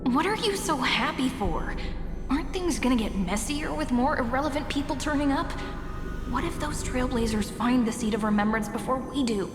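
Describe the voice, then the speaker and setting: Nervous doubtful young girl, high voice, echoey w/ music. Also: expressive, normal speed. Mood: worried. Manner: worried, doubtful questioning. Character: anxious, skeptical young woman. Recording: echoey game cutscene with music.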